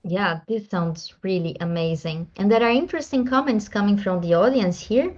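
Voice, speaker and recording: A woman's voice: medium pitch, lively intonation, normal speed, a little mellow. A woman from Brazil, moderating an online panel and putting audience questions to a guest. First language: Portuguese. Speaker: host. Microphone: video call. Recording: slightly dull video call audio.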